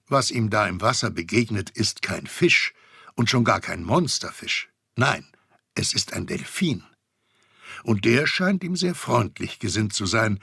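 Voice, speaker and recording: A man's voice: fairly low pitch, creaky, sharp tone, husky, dry recording, clean studio sound. A man narrating a scripted children's story with a wry, storytelling manner.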